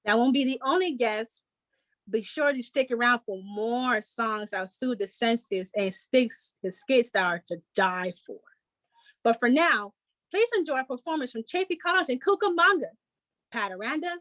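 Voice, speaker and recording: A woman's voice: a little high, lively intonation, a little slow, fairly bright tone, no room sound. A woman hosting in a scripted 1940s radio announcer style, with formal, presentational delivery. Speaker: radio show host.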